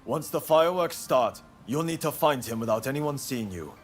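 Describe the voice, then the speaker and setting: Guy voicing a calm samurai rebel plotter in a game, measured and businesslike, bit of music behind. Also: medium pitch, natural voice. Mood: calm, conspiratorial. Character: composed male samurai rebel plotting an assassination. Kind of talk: video game cutscene acting.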